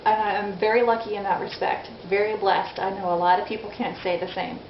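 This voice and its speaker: A woman's voice: mid-range voice, fairly even intonation, brisk. A woman in her forties chatting candidly in a casual video diary, self-deprecating and frank. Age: 40s. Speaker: vlogger. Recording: dull lo-fi audio in an echoey room with faint noise.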